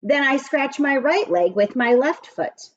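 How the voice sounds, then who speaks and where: A practical, matter-of-fact schoolgirl from a storybook, as a woman reader puts her on, giving odd advice at an unhurried pace. Her voice is on the high side, in a slightly dull recording. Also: animated. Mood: breezy, practical. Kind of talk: children's storybook read-aloud.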